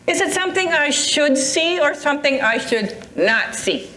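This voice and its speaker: A woman's voice: high voice, very animated, moderate pace, bright, forceful tone, heavily acted. An older woman playing a droll, nostalgic older friend with a musing manner in an amateur readers theatre performance. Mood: wry, nostalgic. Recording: roomy echo with faint noise.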